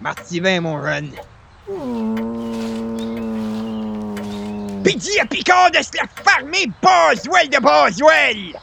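A man's voice: extremely high pitch, very animated, measured pace, creaky, pushed and bright, a little hiss or hum, full character voice. A man playing a cranky old grandpa neighbour with a Québécois accent, grumbling theatrically in a radio comedy. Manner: grumbling, theatrical, rambling chains of complaint. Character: cranky old grandpa neighbour who adores his mother.

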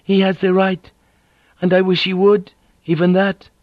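A man reading a story aloud, voicing a proud, wounded noblewoman who speaks calmly and bitterly. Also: mid-range voice, a little slow, no room sound, highs cut off, pitched above the performer's own voice, lightly acted. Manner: calm, controlled, bitter.

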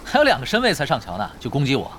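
A man's voice: mid-range voice, wildly swooping pitch, very fast, heavy background noise. A man playing a cocky, playful young expert gamer in a Chinese audio drama, teasing and nonchalant with a theatrical delivery. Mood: taunting. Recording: music behind the voice.

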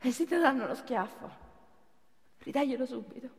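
High-voiced, desperate mother, echoing hall. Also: animated, normal speed, vocal fry. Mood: grave, urging. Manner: pleading, emotional, colloquial. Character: wounded working-class mother in labour, desperate, tender, defiant. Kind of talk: live theatrical monologue. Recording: reverberant theatre hall.

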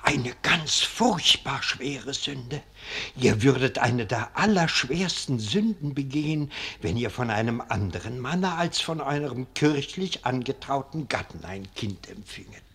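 A man's voice: mid-range voice, animated, bright, forceful tone, breathy edge, exaggerated voice. An actor playing an ancient, pious abbot in a 1940s radio play, speaking in solemn, fatherly, archaic phrases. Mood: grave admonishing. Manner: slow, solemn, archaic clerical speech. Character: ancient, pious abbot, hundred years old, grave and fatherly.

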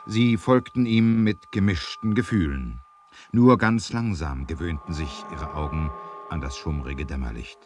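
A man narrating a 1970s adventure radio play in a theatrical, deliberate storytelling style. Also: low voice, noisy background.

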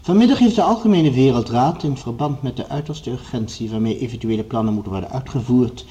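An actor playing a commanding project leader, speaking formally and with measured authority in a radio drama. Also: male voice, mid-range voice, warm tone, dull audio, close to an everyday voice. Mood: formal, authoritative. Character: commanding male project leader addressing assembled scientists. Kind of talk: radio drama acting, formal speech-making.

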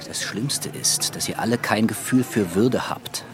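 A man's voice: lowish voice, measured pace, music in the background, natural voice. A male actor playing a proud, indignant ship's captain in a radio drama, speaking bluntly and emphatically. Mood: indignant, scornful. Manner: haughty, emphatic.

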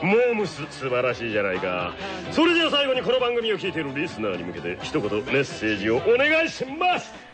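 A man's voice: on the high side, huge pitch swings, brisk. A man playing a flamboyant, theatrical DJ who hosts an anime radio show with showy teasing. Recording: loud music behind the voice.

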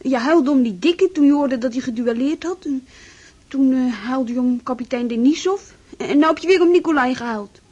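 A woman's voice: sits high, moderate pace, darkish tone, slightly rough, lo-fi sound. A woman playing a bratty, teasing younger brother with a taunting, childlike way of talking in a radio drama.

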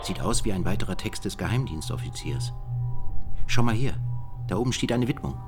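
An actor playing a pensive, erudite code-breaker in a radio play, musing and questioning in a husky voice. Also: male voice, lowish voice, lively intonation, fairly quick, over loud music, natural voice. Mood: intrigued. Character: pensive male code-breaker puzzling over word riddles. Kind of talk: German radio play dialogue.

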